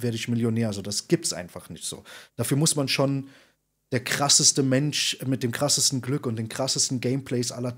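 A man in his thirties, a podcast guest, talking in an informal, slangy, emphatic way with a husky voice. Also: medium pitch. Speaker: podcast guest, content creator and streamer. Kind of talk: casual interview chat. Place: indoor room, video call.

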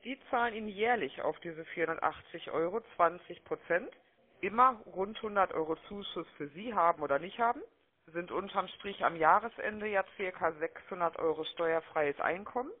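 Woman cold caller on a tinny phone line, chatty persuasive sales pitch, keeps asking for agreement. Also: normal speed. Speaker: telemarketing caller. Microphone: telephone call.

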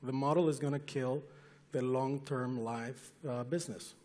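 A man's voice: medium pitch, fairly even intonation, moderate pace, mellow. A man in his forties, an industry association chair, making an earnest, insistent case on a conference panel. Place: large conference hall stage. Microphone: headset mic. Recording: long hall reverb.

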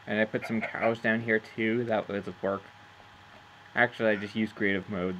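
A man's voice: fairly low pitch, steady tone, moderate pace, fairly bright tone, a little hiss or hum, poor audio. A man giving casual, rambling commentary over his own gameplay, amused at himself and losing his train of thought. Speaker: gameplay narrator.

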